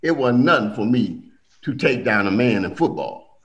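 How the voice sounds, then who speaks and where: A middle-aged man telling family stories in a casual conversation, animated and acting out quotes. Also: moderate pace, lo-fi sound. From Milwaukee. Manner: animated storyteller, acts out quotes with big pitch jumps.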